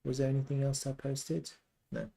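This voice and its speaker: A man's voice: medium pitch, very animated, normal speed, gravelly, dark tone. A man hosting a live market analysis, explaining step by step and repeating key points for emphasis. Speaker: live stream host and trading educator. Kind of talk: live chart analysis commentary.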